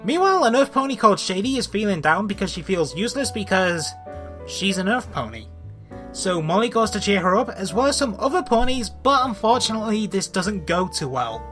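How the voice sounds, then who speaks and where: A man's voice: high-pitched, sing-song, fairly quick, fairly bright tone, soft background music. A man hosting a scripted comic review, with an exasperated, sarcastic way of talking. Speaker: video reviewer and host.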